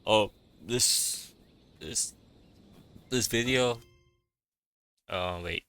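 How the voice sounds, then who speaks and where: A man's voice: lowish voice, drawn-out words, bright, forceful tone, smooth, no echo. A man giving casual gameplay commentary as a streamer, informal, muttering short reactions. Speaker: game streamer.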